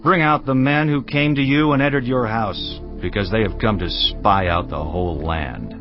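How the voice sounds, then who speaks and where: Male actor as ancient king, stern, demanding, lowish, slightly dull recording. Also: moderate pace, natural voice. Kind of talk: dramatized audio Bible acting. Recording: slightly dull audio over music.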